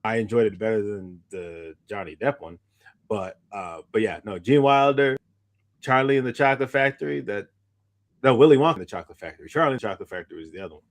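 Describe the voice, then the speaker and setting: A man co-hosting a casual podcast, bantering in a halting way and repeating phrases as he reasons. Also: lowish voice, expressive. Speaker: podcast co-host.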